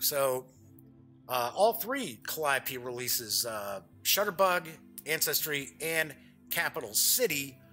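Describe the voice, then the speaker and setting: A man's voice: medium pitch, sharp tone. A man hosting a board game show, giving casual unboxing commentary in a chatty way, thinking aloud as he goes.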